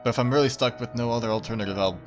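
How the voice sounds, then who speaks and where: A man's voice: mid-range voice, racing, over music. A man streaming a game, reading aloud and giving casual commentary.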